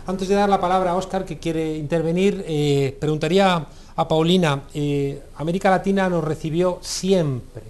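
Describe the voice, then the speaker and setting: A man's voice: a little high, steady tone, normal speed, a little mellow. A man hosting a studio debate, asking pointed questions with an ironic edge. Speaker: host.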